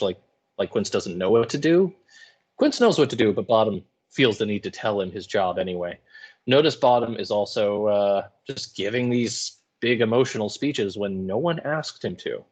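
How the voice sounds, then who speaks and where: A man's voice: fairly low pitch, a little mellow. A man teaching English, giving informal, colloquial explanatory commentary in a casual lecture style. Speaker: high school English teacher.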